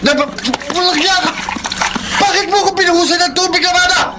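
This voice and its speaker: Very high-pitched, bullying Filipino husband. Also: male voice, fairly even intonation, fairly quick, over loud music. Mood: furious, threatening. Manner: dismissive, blustering, evasive. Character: defensive, short-tempered philandering husband, brazen and bullying. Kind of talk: Filipino radio drama acting. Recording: noisy radio drama with background ambience.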